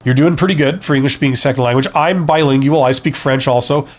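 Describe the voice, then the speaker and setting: A man's voice: mid-range voice, brisk. Frank, conversational male advice streamer. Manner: conversational, frank, often checks in with "right?" and "you know?". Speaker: live stream host, career advisor and former CEO. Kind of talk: casual live stream advice, answering viewer comments.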